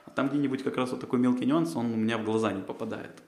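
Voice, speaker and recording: A man's voice: medium pitch, steady tone, fast, a little mellow, reverberant. A man, a podcast co-host, answering interview questions in a rambling way, with many fillers and restarts. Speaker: job candidate, podcast co-host.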